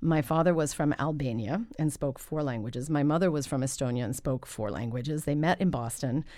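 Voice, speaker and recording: A middle-aged woman talks through her past in a podcast studio, her voice on the low side. She tells stories in a lively, chatty way and laughs easily. The sound is dry and close, with no room around it. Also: normal speed, no echo. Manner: lively storyteller, laughs often. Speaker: guest, interpreter. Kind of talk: conversational podcast interview. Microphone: studio microphone.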